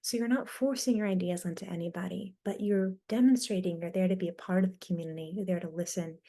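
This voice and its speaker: A woman speaking as a panelist on a webinar, giving an earnest, measured answer. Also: lowish voice, dark tone. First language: not Mandarin. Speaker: explorer panelist.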